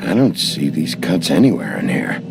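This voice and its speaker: A hard-boiled video game sheriff. The voice is extremely low and gravelly, with a blunt, terse delivery that still swings in pitch. He sounds dry and guarded. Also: wildly swooping pitch, moderate pace, heavy background noise, heavily acted. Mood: dry, pondering. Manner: blunt, dry, muttering to himself. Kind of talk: cinematic video game acting. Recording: game audio with music behind.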